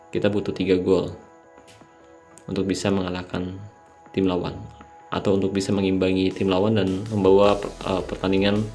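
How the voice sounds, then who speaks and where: A man's voice: low-pitched, a little mellow. A young man with a slightly nasal, sleepy voice, casually explaining a mobile game strategy and calling his viewers "guys". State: sleepy, late at night. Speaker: mobile game channel host. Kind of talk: casual gameplay tutorial voice-over.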